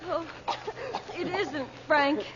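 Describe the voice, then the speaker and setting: A genteel, fretful mother in a radio adventure, sighing and plaintive in a high voice. The vintage recording is muffled under loud noise. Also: very slow. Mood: weary. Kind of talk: old-time radio adventure serial acting.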